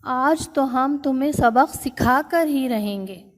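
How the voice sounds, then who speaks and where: A woman's voice: sits high, big-room sound, natural voice. A woman voicing a group of tiny, angry female ants in a children's story. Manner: indignant, threatening.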